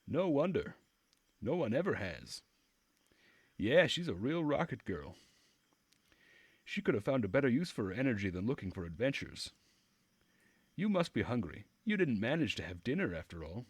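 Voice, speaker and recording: Man voicing a bright helpful camp girl, pitched up. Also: very animated, moderate pace, long pauses, slightly rough, dry recording. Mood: friendly, helpful. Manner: polite, caring, chatty. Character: friendly helpful young female camp leader's aide.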